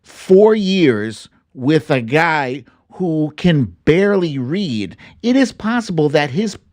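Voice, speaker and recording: A man's voice: a little high, very animated, slow pace, a little mellow. A man hosting a live political commentary stream, speaking casually and bluntly with salty slang and theatrical, opinionated delivery. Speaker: host of a political commentary livestream.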